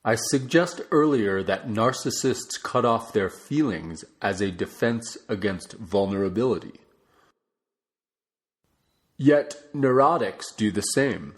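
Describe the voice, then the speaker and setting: Slow, measured man reading aloud. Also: fairly low pitch, animated. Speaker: audiobook reader. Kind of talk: scripted non-fiction book narration.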